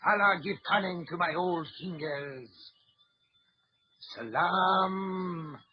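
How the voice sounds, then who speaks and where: An old Arabian street magician in vintage radio drama. The voice is pitched very high and chants showy, devout patter to passers-by. It comes through dull, with a light hiss. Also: male voice, slow pace. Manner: chanting, declamatory. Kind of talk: 1940s radio drama acting. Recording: muffled, hissy old radio recording.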